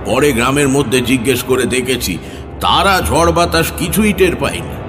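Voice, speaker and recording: A man playing a troubled, courteous middle-aged gentleman in an audio horror story, speaking hesitantly. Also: medium pitch, lively intonation, normal speed, long reverb tail, lightly acted. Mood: uneasy, puzzled. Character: troubled gentleman householder, father, polite and uneasy. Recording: music bed behind the voice.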